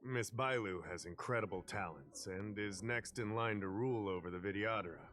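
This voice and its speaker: A man playing a courteous, knowledgeable fantasy official in a video game, explaining things in a measured way. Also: lowish voice, fairly bright tone, soft background music, lightly acted. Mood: patient, explanatory.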